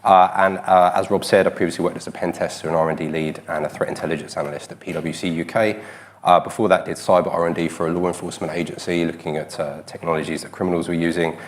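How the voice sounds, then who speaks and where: A man's voice: sits low, fairly even intonation, quick pace, slight background noise. A man giving a technical conference talk, a bit congested from a cold, with a self-deprecating, filler-heavy delivery. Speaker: conference speaker.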